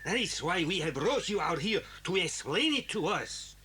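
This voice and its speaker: An actor playing a bossy, threatening foreign villain with a rough voice in a children's audio drama. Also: male voice, high voice, big pitch swings, moderate pace, pushed and bright, a little hiss or hum, full character voice. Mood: menacing. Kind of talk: children's radio drama acting.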